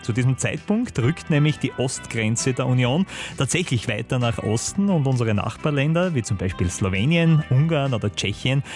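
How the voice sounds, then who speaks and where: Austrian man presenting the news. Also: medium pitch. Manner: conversational, with asides to listeners. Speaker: news anchor.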